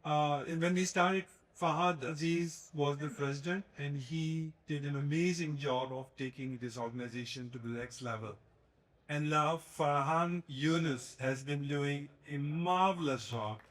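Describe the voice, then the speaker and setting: Guy thanking folks off the cuff, higher voice, far from mic. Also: unhurried, fairly bright tone. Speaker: organization member giving thanks. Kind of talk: impromptu remarks.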